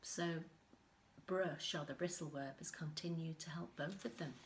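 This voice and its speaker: A woman reading a picture book aloud to young children, expressively, pausing to explain things as an infant school storyteller. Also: deep voice, noisy background.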